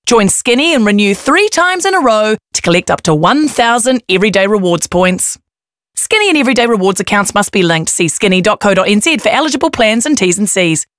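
A woman's voice: medium pitch, very animated, no echo, studio quality. Chatty woman reading a phone ad. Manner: chatty, fast disclaimers. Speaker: ad voice-over. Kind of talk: upbeat ad read.

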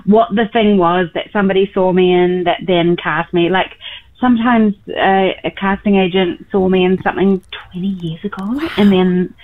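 A bubbly, self-mocking woman from New Zealand talks casually as a radio guest. Her voice comes through a thin, phone-like remote line. She laughs easily and plays with her answers. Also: medium pitch. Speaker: actress guest. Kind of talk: casual radio interview chat. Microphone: remote line from a film shoot location.

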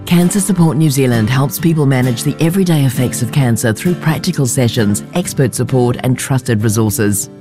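Woman voicing a charity ad, deep and low, measured, guitar music underneath. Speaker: ad voice-over. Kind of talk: scripted advert reading.

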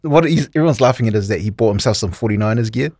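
A man's voice: lowish voice, lively intonation, fast, darkish tone, a little hiss or hum. A man, co-hosting a casual podcast and telling stories with easy laughs. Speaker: podcast co-host.

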